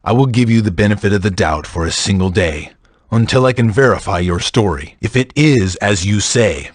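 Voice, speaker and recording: A man's voice: lowish voice, lively intonation, moderate pace, no echo, studio quality. A man voicing a stern, proud, distrustful commander in a video game, formal and resolute. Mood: stern, doubtful. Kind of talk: dramatic video game voice acting.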